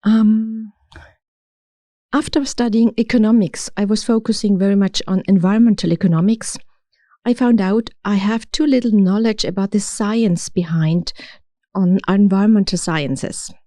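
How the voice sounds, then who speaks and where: A middle-aged woman from Austria with an Austrian accent, telling her story as an interview guest, halting now and then as she searches for words in English. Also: mid-range voice, warm tone, dry recording, clean studio sound. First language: German. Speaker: podcast guest, city official. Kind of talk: conversational interview, personal storytelling.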